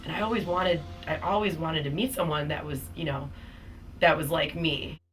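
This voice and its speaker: A young woman with a low-pitched voice talks through her experience in a loose, chatty manner, dotting her story with "um" and "you know". She sounds set back from the microphone, with soft music playing underneath. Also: fairly even intonation, normal speed, recorded from a distance, poor audio. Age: 20s. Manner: chatty, with frequent fillers and small laughs. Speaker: cancer survivor giving a testimonial. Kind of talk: casual personal testimonial.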